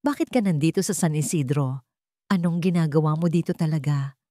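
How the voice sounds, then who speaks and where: A woman's voice: deep voice, brisk, mellow, no echo, studio quality, natural voice. A woman playing a shaken, distrustful young woman in a radio drama, with a trembling, weak delivery. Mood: guarded questioning. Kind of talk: Tagalog radio drama storytelling.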